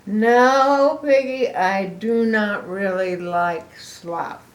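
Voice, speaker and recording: A woman puts on a nervous, good-hearted boy elephant from a storybook. Words come out very slowly and haltingly, each one leaned on with reluctant emphasis. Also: medium pitch, roomy sound, low-quality recording. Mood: earnest. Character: anxious, reluctant, kindly male elephant friend. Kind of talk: animated children's picture-book read-aloud.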